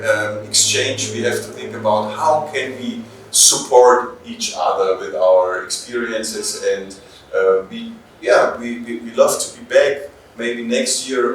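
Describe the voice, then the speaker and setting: A man with a German accent gives interview answers in English as a native German speaker. He pauses and repeats phrases while searching for words. The room echoes heavily and the microphone sounds some way off. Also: medium pitch, distant mic, slight background noise. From Bavaria, Germany. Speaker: police trainer, interview guest.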